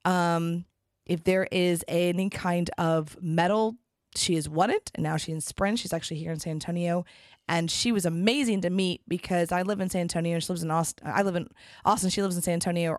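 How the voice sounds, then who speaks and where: A woman hosting a podcast in a casual, rambling monologue, her voice raspy and hoarse from being sick. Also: lowish voice, fairly even intonation, brisk, no room sound, studio quality. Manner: rambling and chatty, with frequent restarts. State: hoarse from a respiratory infection. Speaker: podcast host.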